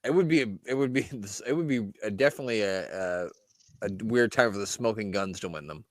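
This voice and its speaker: A man's voice: fairly low pitch, quick pace, no room sound, studio quality. A man co-hosting a casual podcast, joking and laughing as he chats over an old wrestling broadcast. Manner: joking, laughs often, banters. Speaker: podcast co-host.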